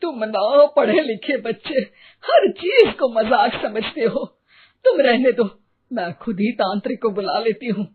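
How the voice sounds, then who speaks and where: A woman's voice: on the high side, lively intonation, a little slow, narrow, tinny audio, a little hiss or hum. A woman voicing an anxious, superstitious middle-aged mother in a cartoon, fretful and scolding in a dramatic way. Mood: suspicious, scolding. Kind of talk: Hindi cartoon voice acting.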